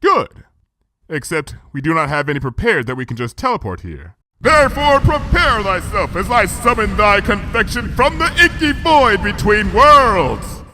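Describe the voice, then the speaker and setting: A man's voice: high voice, sing-song, moderate pace, background noise, voice pushed up. A man voicing a haughty pony princess in a storybook reading, declaiming grandly in archaic royal speech. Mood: regal, insistent. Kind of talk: storybook fan fiction character reading.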